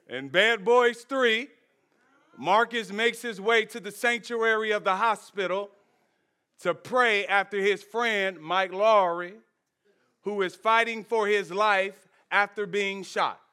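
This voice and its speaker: Joking, bright-voiced pastor preaching. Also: male voice, sits high, clean tone. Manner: joking, folksy preaching with laughs and asides. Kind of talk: sermon. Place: church sanctuary.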